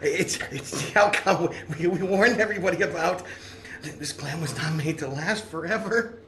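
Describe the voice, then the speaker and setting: A nervous, deferential engineer in an audio drama. His high voice stammers and trips anxiously, set in a roomy echo over faint noise. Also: normal speed, fairly bright tone. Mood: panicked. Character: nervous, deferential male plant engineer, timid and worried.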